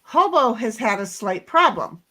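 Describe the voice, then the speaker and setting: A middle-aged woman with a loud voice and a fairly high pitch tells a story in a casual chat. Her manner is blunt and animated, with swearing mixed in. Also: unhurried. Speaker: co-host.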